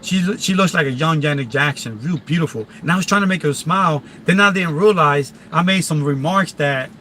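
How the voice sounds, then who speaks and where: A man with a Philadelphia accent rants casually on a live stream. His voice sits high and bright. He is emphatic and repetitive and often restarts his sentences, with faint traffic noise behind him. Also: brisk. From Philadelphia, USA.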